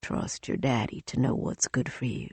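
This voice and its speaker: Woman putting on a controlling older dad: deep, gravelly, calm, manipulative. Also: moderate pace, dry recording, heavily acted. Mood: soothing. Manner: smooth, knowing, lecturing. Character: controlling older rich father, calm and scheming.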